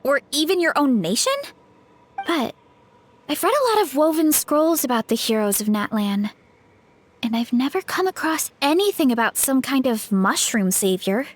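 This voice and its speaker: Skeptical young adventurer. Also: female voice, sits high, animated, normal speed. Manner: reasoning, skeptical. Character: young woman adventurer, thoughtful and reasonable. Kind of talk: game voice acting.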